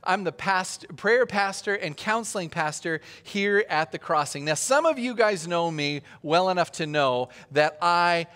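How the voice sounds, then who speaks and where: A man's voice: high-pitched, expressive, unhurried, few pauses, sharp tone. A man preaching a conversational sermon as a pastor, chatty and joking, asking the audience questions. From Austria. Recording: long reverb of a large hall.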